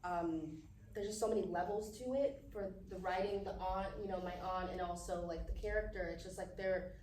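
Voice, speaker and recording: A woman's voice: lowish voice, a little fast, darkish tone. A woman speaking effusively as an actor giving casual feedback at a panel discussion. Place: live event room at a film festival. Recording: roomy, distant mic, faint noise.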